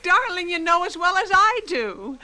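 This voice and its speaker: An actress playing a worried wife in a radio drama, calming and coaxing. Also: female voice, extremely high pitch, moderate pace, heavily acted. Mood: soothing. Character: soothing worried wife, adult woman. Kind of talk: 1990s radio drama acting.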